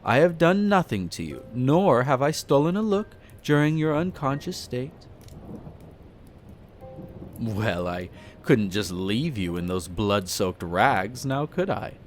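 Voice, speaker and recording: A man performs an aristocratic male vampire in an intimate roleplay audio drama, with faint music behind him. His middle-register voice moves in lively, theatrical swings. He is flirtatious and smugly amused, condescending to the listener in polished, formal phrasing. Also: mid-range voice, takes it slowly. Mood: flirtatious, reassuring. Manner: theatrical, leisurely storytelling with teasing, haughty, formal wording.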